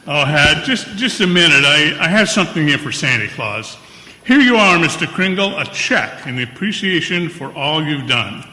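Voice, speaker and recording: A man playing an excitable, blustering department store boss in an old-time radio play, emphatic and sputtering. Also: fairly high pitch, normal speed, full character voice. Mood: brisk, hearty. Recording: reverberant hall.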